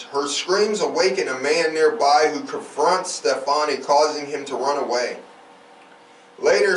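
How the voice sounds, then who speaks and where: A man hosting a true-crime podcast, telling cases informally with emphatic, opinionated commentary. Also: a little high. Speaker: podcast host. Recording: roomy, distant mic, faint noise.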